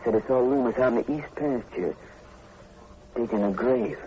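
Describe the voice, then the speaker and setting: An actor playing a stubborn, protective young country suitor in a 1940s radio drama. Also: male voice, medium pitch, normal speed, vocal fry, warm tone, natural voice. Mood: earnest, uneasy. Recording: muffled, faintly noisy 1940s radio recording.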